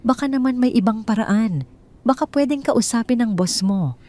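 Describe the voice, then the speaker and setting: A woman's voice: a little high, expressive, normal speed, darkish tone, pitched above the performer's own voice, close to an everyday voice. A woman reading the lines of a selfish, panicky husband in a storybook drama, speaking in frantic, whiny questions. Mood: anxious.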